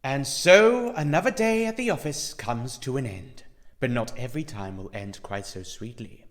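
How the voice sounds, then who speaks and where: A man's voice: medium pitch, expressive, moderate pace, natural voice. A man playing a brooding, theatrical storyteller who narrates an audio anthology to the listener. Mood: theatrical, wistful. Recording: long cavernous reverb.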